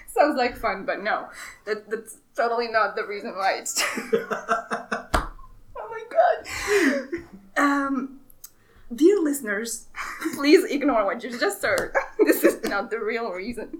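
A woman's voice: a little high, sing-song, slow pace, pauses often. Giggly woman searching for words. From Morocco. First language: Arabic. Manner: chatty, giggly, self-deprecating, searches for words. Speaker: guest. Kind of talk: casual interview.

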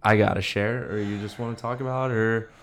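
A man's voice: on the low side, steady tone, brisk, fairly bright tone, a little hiss or hum. A young American man co-hosting a podcast, chatting casually in a laid-back, slangy way. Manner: laid-back, slangy talk peppered with dude and bro. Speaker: podcast co-host. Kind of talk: casual chat, personal anecdotes.

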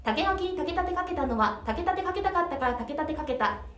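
High, animated woman in an echoey room. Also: fairly quick. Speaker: aspiring voice actor and show regular. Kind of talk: tongue-twister recitation and casual banter. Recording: echoey room, distant microphone.

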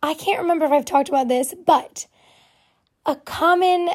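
A young woman with a naturally hoarse, raspy voice, hosting a casual solo podcast and rambling chattily with lots of fillers. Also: sits high, moderate pace. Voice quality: hoarse, raspy voice from vocal cord nodules. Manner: rambling, chatty, self-deprecating with many fillers. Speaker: podcast host.

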